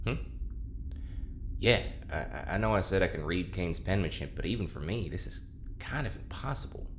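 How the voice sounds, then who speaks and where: Flustered, animated research assistant. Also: male voice, deep voice, moderate pace, a little hiss or hum. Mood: baffled, hesitant. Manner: hesitant, stammering, defensive. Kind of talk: audio drama acting.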